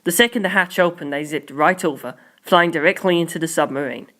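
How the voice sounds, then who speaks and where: An amateur audiobook narrator with a medium-pitched voice reads fiction in the first person. The delivery is expressive and made for storytelling. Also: brisk.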